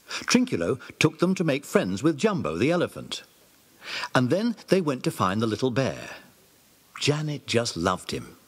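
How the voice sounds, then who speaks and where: A man's voice: mid-range voice, slightly rough. A man narrating a children's audiobook drama in a scripted, storytelling way. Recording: old cassette audiobook recording.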